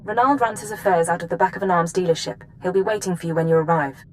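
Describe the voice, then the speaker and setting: Actress as a brisk intelligence superior, noisy background. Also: female voice, on the low side, natural voice. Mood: businesslike. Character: brisk, businesslike female Imperial intelligence superior. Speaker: mission controller. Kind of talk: video game dialogue acting.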